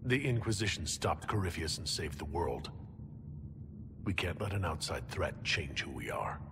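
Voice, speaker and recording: A man's voice: low voice, lively intonation, normal speed, background noise. A man playing a resolute leader of an order in a video game, speaking directly and with determination. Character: resolute male military leader, head of an inquisition. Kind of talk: video game character acting.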